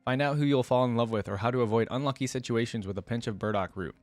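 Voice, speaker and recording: A man's voice: mid-range voice, fast talker, no echo, natural voice. A man reading the part of a wry, chatty young woman who tells fortunes at a fantasy market. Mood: hawking.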